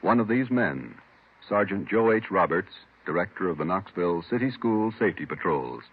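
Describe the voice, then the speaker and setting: A man's voice: sits low, lively intonation, moderate pace, husky. A man reading solemn scripted announcements in old-time radio style. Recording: tinny old radio recording, music behind.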